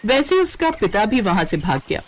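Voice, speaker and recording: A woman's voice: medium pitch, big pitch swings, fairly quick, slight background noise. A woman reading scripted narration for a cartoon story.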